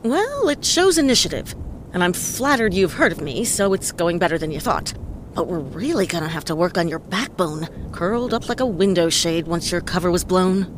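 An actress playing a tough, wisecracking female private detective in a noir audio play, speaking in a deadpan, sardonic way. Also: lowish voice, moderate pace, noisy background, natural voice. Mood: wry, challenging. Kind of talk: hard-boiled noir radio play acting. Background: prominent music.

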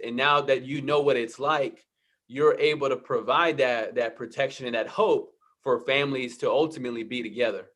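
A man hosting an interview, responding in a warm, affirming, reflective way and often stumbling over repeated words. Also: mid-range voice. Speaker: interview host. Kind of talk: conversational interview.

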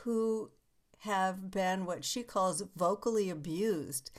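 A woman in a casual conversation, telling stories with lively acted-out quotes. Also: mid-range voice, slow.

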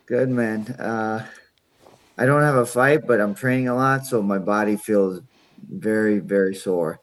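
A man from Iran speaking as a podcast guest in a relaxed, easygoing way, with fillers and restarts. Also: lowish voice, unhurried. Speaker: podcast guest, MMA fighter. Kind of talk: casual interview chat.

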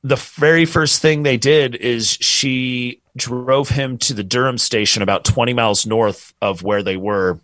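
A man's voice: mid-range voice, unhurried, hardly any pauses, no echo. Casual man telling a story. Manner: relaxed, rambling, swears freely. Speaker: podcast co-host telling a true crime story.

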